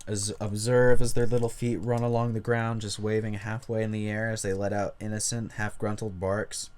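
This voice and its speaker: A man voicing a grave, dramatic narrator of creepy horror stories, reading in a theatrical, deliberate way. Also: fairly low pitch, level tone, darkish tone, lightly acted. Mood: hushed wonder.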